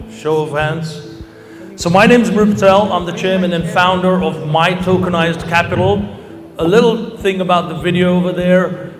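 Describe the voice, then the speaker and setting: Male conference speaker, middle-aged, fairly high voice, informal and emphatic, recorded in an echoing hall. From Kenya. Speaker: company founder and keynote speaker. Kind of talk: informal conference pitch to an audience. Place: large hall, speaking to an audience. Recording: long hall reverb, music behind. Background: music.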